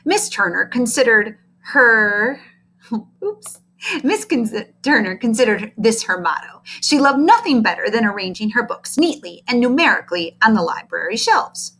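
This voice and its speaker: A woman reading a children's storybook aloud as narrator, in an expressive, deliberate manner. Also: on the high side. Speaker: storybook reader.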